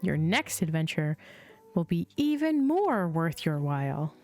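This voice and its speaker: A woman plays a kindly magical teddy bear from a children's storybook. He speaks in gentle, reassuring rhyme at an easy, slow pace with lilting pitch. Music plays softly behind. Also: big pitch swings, full character voice. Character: magical male teddy bear, cheerful, reassuring dream guide.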